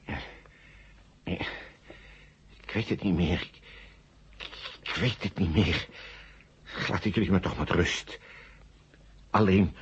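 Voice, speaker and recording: An actor in a 1970 science-fiction radio drama playing a dazed, anxious astronaut coming out of hypnosis, halting and groping for words. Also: male voice, deep voice, muffled recording, slight background noise. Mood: confused, anxious.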